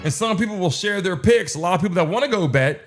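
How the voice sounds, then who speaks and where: High-pitched man hosting a livestream. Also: expressive, fast, faint music behind. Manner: informal, hyping up the chat. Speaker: live stream sports show host. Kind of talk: livestream chatter and game reaction to viewers.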